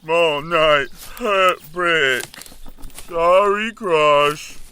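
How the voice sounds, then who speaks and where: A man's voice: a little high, drawn-out words, bright, forceful tone, slight background noise, heavily acted. A man playing a huge, dim, childlike orc henchman in an audio drama, speaking simple broken grammar. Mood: apologetic.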